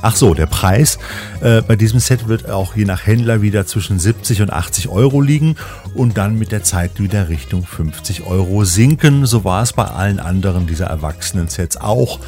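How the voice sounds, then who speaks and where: A man's voice: fairly low pitch, moderate pace, warm tone. A man hosting a magazine show, reading scripted narration. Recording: music bed behind the voice. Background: music.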